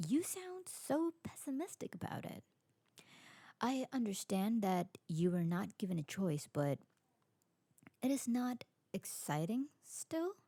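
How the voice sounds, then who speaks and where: A woman's voice: medium pitch, vocal fry, dark tone, breathy edge, no room sound, full character voice. A woman playing a curious, adventurous, newly turned vampire in an ASMR roleplay drama, speaking in a genteel, formal way. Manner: genteel, formal, lingering delivery.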